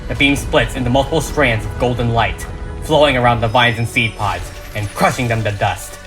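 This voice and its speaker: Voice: mid-range voice, moderate pace, a bit bright, faint music behind, rough recording. A narrator reading a script aloud in a dramatic, emphatic, theatrical style.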